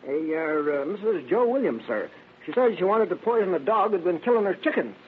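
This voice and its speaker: An actor playing a timid, deferential druggist in an old radio drama, stammering and repeating himself hesitantly. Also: male voice, high-pitched, sing-song, a little fast. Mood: nervous, deferential. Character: timid, flustered small-town druggist, meek and deferential. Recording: muffled, noisy vintage radio recording.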